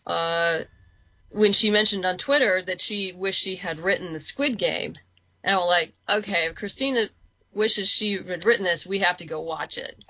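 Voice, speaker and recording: A woman's voice: on the low side, normal speed, sharp tone, no echo, highs cut off. A woman chatting casually as a guest in an interview. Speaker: interview guest, comics creator. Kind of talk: casual chat.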